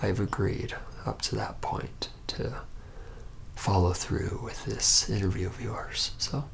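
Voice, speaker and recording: A man playing a reluctant, weary young vampire in an ASMR roleplay, speaking hesitantly in a breathy, gravelly whisper with many pauses. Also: fairly low pitch, fairly even intonation, fairly bright tone, a little hiss or hum, full character voice. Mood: resigned, weary. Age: young adult. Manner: hesitant, halting, apologetic, full of pauses.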